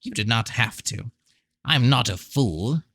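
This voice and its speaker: A man's voice: mid-range voice, animated, a little slow, vocal fry, a bit bright, no echo, full character voice. A man voicing a mad old sorcerer, crafty and blunt, speaking in a dramatic, insistent way as part of a fantasy book reading. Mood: sly, accusing.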